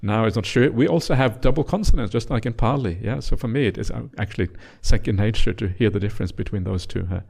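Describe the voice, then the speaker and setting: A middle-aged man from Norway, a native Norwegian speaker, giving a gentle, teasing dharma talk as a monk leading a retreat, with many uhs and yeahs. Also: fairly low pitch, fast, vocal fry, hardly any pauses.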